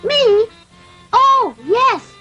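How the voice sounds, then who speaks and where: A very high-voiced, naive puppet boy from a classic cartoon dub. He is polite and earnest, with exclamations and big swings in pitch. Music plays behind him on the old soundtrack. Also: expressive, extremely slow, bright, forceful tone, lo-fi sound, exaggerated voice. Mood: hesitant, nervous. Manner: earnest, exclamatory, formal phrasing. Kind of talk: dubbed classic cartoon acting.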